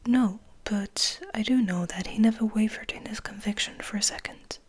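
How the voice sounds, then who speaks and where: A woman voicing a serene but bitter male vampire in a measured, formal, breathy whisper for a storybook reading. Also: mid-range voice, close to an everyday voice. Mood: grave.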